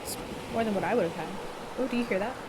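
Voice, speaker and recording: Female game streamer, medium pitch, casual brief interjections, very noisy recording with ambience. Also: racing. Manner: short conversational interjections. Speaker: live streamer reacting to a visual novel. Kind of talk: casual live-stream reactions.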